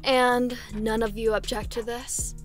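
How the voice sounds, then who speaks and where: An actress playing a composed, authoritative assassin commander in an audio drama, formal and matter-of-fact. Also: female voice, a little high, flat delivery, unhurried, faint music behind, lightly acted. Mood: cool, commanding.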